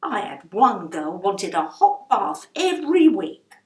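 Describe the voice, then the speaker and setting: A woman's voice: medium pitch, big pitch swings, takes it slowly, darkish tone, roomy sound. A woman voicing a chatty, blunt working-class London landlady in a children's storybook. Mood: blunt, indignant. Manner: chatty, blunt, colloquial non-standard grammar.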